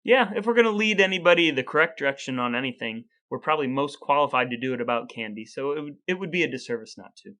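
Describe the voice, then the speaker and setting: A man's voice: medium pitch, animated, fast, hardly any pauses, pushed and bright. A man co-hosting a casual chat podcast with his brother, playful and dry-humored. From American Midwest. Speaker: podcast co-host.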